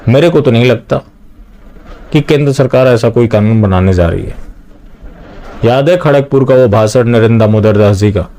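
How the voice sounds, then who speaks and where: A man's voice: mid-range voice, moderate pace, pauses often, dark tone. A man delivering opinionated political news commentary straight to viewers, often posing rhetorical questions.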